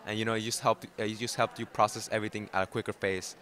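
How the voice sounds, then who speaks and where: A man's voice: lowish voice, flat delivery, rapid-fire, a bit bright. A young man from Mexico, a Spanish speaker, answering interview questions hesitantly with many fillers. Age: young adult. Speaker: graduating high school student.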